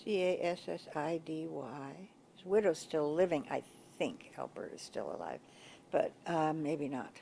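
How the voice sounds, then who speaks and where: A woman's voice: sits low, a little slow, creaky, warm tone, slight background noise. Older woman with a big voice, reminiscing. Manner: reflective, searching for words with many restarts. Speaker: oral history interviewee. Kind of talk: oral history interview. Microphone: table mic in front of a coffee pot.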